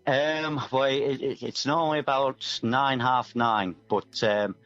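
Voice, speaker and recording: A man's voice: medium pitch, steady tone, slow pace, a bit bright. A man chats casually as a musician guest, in a rambling, self-deprecating way. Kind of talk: casual radio interview chat. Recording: dull, muffled audio over music.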